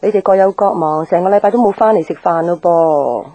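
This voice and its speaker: An actress playing a caring, thrifty older mother with a homely, gently nagging way of talking, in Hong Kong Cantonese radio drama. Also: on the low side, brisk, dull audio, natural voice. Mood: caring, chatty.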